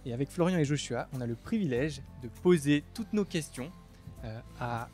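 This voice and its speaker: A man's voice: medium pitch, animated, normal speed, a little mellow, soft background music. Young man hosting a podcast. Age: young adult. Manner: friendly, scripted intro, clear. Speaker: podcast host. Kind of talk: podcast hosting with sponsor read.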